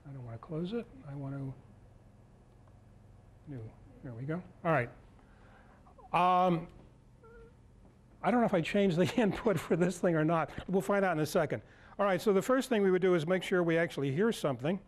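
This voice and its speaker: A man in his sixties giving an informal live demonstration as a lecturer, halting as he searches for words. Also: sits high. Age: 60s. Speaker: lecturer at a club workshop. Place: indoor meeting room, speaking to a group.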